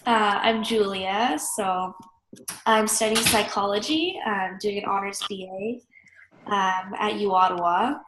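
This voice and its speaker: A woman's voice: medium pitch, unhurried. A young woman student introducing herself, nasal from a cold, friendly and a bit hesitant with many fillers. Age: young adult. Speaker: university student. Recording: echoey room with faint noise.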